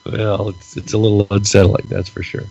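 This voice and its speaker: A man's voice: fairly low pitch, flat delivery, fairly quick, creaky, mellow, highs cut off. A man co-hosting a casual political podcast, ranting with lots of repeated words while losing his voice and stuffy-nosed. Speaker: podcast co-host.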